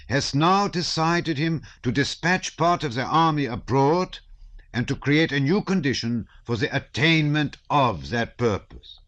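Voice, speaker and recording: A man's voice: a little high, pushed and bright, no echo, voice pushed up. A man reading an audiobook voices a stout, pompous old colonel who declaims with heavy emphasis on his words.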